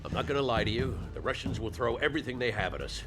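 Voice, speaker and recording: An actor playing a solemn, resolute middle-aged American colonel in a military game cutscene. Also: male voice, medium pitch, animated, fast talker, music in the background, close to an everyday voice. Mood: grave resolve. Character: grave, steadfast veteran American army colonel rallying troops. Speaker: army colonel.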